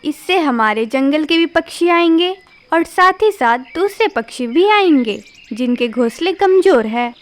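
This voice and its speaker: Woman doing a smart little cartoon sparrow girl, high voice, earnest and polite. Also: animated. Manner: earnest, polite, quick-thinking. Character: smart young female sparrow.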